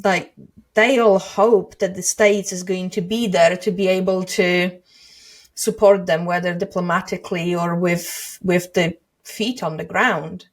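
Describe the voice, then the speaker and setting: A woman's voice: on the low side, fairly even intonation, measured pace. A woman from Poland, hosting an interview and talking in a thoughtful, hesitant way that restarts mid-sentence. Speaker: podcast host. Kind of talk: casual interview chat.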